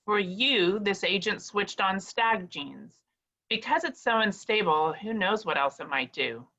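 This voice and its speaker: A nerdy, earnest scientist, voiced by a woman at a table read, given to explaining and fretting. The voice is bright and crisp-edged at a middling pitch. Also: expressive, unhurried, dull audio, full character voice. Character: nerdy, fretful lab scientist. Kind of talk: comic screenplay table read.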